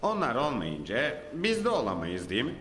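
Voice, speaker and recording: A man's voice: mid-range voice, huge pitch swings, fairly quick, background noise. A man voicing a dim-witted dirt-creature minion who talks in a hesitant, stammering, meek way. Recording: echoey reverberant mix.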